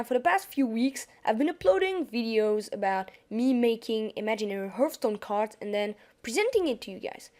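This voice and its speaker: Chatty French-accented young woman gamer. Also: fairly high pitch. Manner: chatty, restarts often, apologetic. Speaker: gaming YouTuber. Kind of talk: casual gameplay commentary over screen capture.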